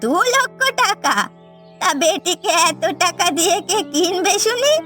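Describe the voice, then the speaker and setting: Woman playing greedy old mother-in-law, high-pitched, scolding, domineering, cartoon drama, music behind. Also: animated, measured pace, bright, forceful tone, full character voice. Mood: greedy, gloating. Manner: scolding, scornful. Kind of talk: Bengali cartoon family drama acting. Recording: background music.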